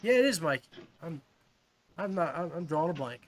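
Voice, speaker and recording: A man's voice: a little high, big pitch swings, fairly quick. An American man hosting a casual fishing podcast, chatting with guests in a friendly, chummy and appreciative way. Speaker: podcast host.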